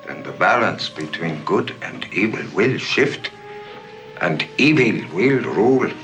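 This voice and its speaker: Slow, solemn older man. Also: fairly low pitch, animated. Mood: solemn, ominous. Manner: solemn, measured, portentous. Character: grave older German man explaining an ancient prophecy. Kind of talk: dramatic film dialogue. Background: music.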